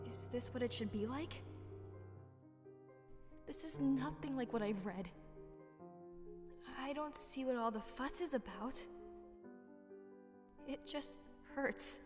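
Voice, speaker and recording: A woman's voice: a little high, big-room sound. A woman playing an anxious, hurt young goddess with a hesitant, vulnerable delivery in a comic dub.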